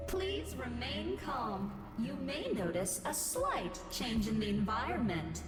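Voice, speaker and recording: Eerily soothing female game announcer, high and lilting, drenched in reverb over music. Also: big pitch swings. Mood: eerily calm. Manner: slow, measured, placating. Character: calm, eerie female announcer soothing a doomed population.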